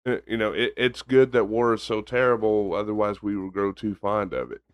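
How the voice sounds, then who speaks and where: A young man with a monotone baritone voice, co-hosting a casual podcast chat. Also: brisk, smooth, no echo. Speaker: podcast co-host.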